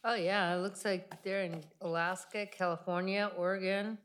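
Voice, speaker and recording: Woman, middle pitch, committee member relaying details from a document. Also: flat delivery, moderate pace, fairly bright tone. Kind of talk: casual meeting discussion.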